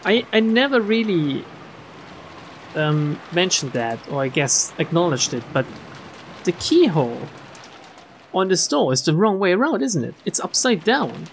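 A man reading a visual novel aloud as a streamer, with expressive narration and casual asides. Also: high-pitched, unhurried, slight background noise.

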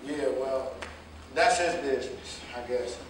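A man's voice: mid-range voice, a little slow, lightly acted. A man playing a hot-headed, defensive young jitney driver in a stage play reading, curt and colloquial in his talk. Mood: curious, guarded. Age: young adult. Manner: curt, profane, street colloquial. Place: theater or hall staged reading, far mic. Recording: echoey hall, distant mic, noisy.

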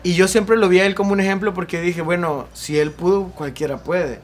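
A young man in his twenties with a Salvadoran accent, a singer telling his story at length in a relaxed interview on an outdoor patio. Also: high-pitched, brisk, a little hiss or hum. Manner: earnest, talks at length with ease. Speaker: singer and guest. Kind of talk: casual interview.

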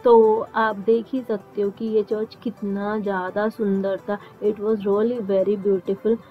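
A woman's voice: mid-range voice, narrow, tinny audio, faint music behind. A young woman narrating a travel vlog in a voice-over, mixing Hindi and English. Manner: slow, even Hindi-English mix. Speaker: travel vlogger.

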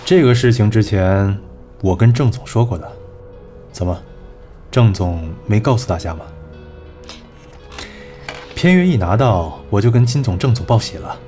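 A man playing a cool, assured agency executive in an audio drama, measured and persuasive. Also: on the low side, expressive, mellow, faint music behind, natural voice. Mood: confident, persuasive. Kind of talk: Chinese audio drama acting.

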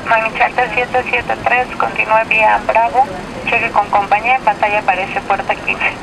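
High, creaky male ground controller on radio. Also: level tone, moderate pace. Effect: aviation radio. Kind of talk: clipped radio instructions. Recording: noisy aircraft radio in cockpit.

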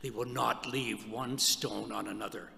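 Forceful, gravelly man reading as a grave prophet. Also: lowish voice, steady tone, measured pace, exaggerated voice. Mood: grave lament. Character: Jesus, grave man warning and rebuking. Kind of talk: church readers' theatre scripture reading. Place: church hall stage before seated audience. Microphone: stand microphone. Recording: reverberant hall PA.

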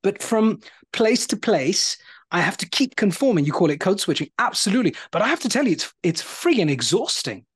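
A man's voice: high-pitched, fairly quick, breathy edge. A man in his forties with a British accent, hosting an interview show and talking animatedly from home over a video call. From United Kingdom. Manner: animated, gestures with his hands. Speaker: host. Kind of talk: interview conversation. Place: bright home room.

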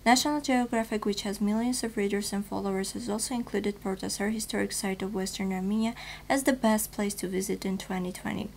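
A woman's voice: medium pitch, fairly even intonation, brisk, vocal fry, hardly any pauses, a little hiss or hum. Young woman reading the news formally. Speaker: news anchor. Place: TV news studio, virtual set.

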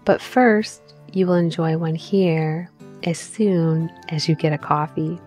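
A woman narrating a scripted guided visualization in a gentle, measured manner, with deliberate pauses. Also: fairly low pitch. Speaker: meditation guide, mindset coach. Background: background music.

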